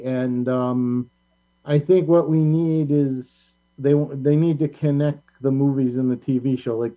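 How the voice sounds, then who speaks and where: A middle-aged man hosting a casual panel discussion, talking in a rambling, halting way. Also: mid-range voice. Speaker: talk show host. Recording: muffled lo-fi audio with mains hum.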